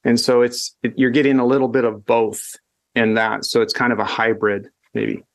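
A man's voice: mid-range voice, flat delivery. A man as guest in a casual conversation, thinking aloud and often restarting his sentences. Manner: thoughtful, halting with frequent restarts. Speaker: guest educator.

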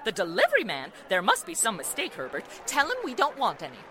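A very high, bright, middle-aged society matron in a 1940s-style radio play. She gushes and flutters theatrically, swooping across her whole range and always close to hysteria. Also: wildly swooping pitch, quick pace, a little hiss or hum, full character voice. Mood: fussy, chatty. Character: gushing, flighty, hysterical wealthy society matron.

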